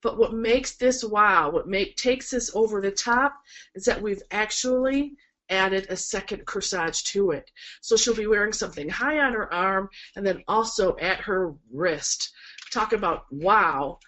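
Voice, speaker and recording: A woman's voice: medium pitch, a little slow, a bit bright, rough recording. A woman florist with a cold and a nasal, stuffed-up voice, chatty and encouraging as she demonstrates a project in a webinar, with frequent ums. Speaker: florist instructor. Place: work table indoors. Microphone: camera mic.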